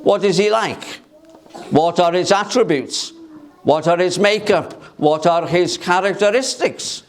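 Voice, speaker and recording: A man preaching a sermon in a church through a microphone, speaking loudly and emphatically and asking rhetorical questions. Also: sits high, unhurried. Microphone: amplified by a microphone.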